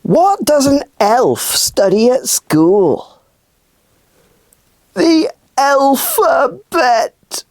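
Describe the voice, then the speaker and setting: A woman reading scripted stories and segments for children as a show presenter, with expressive, deliberate delivery. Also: high voice, dry recording.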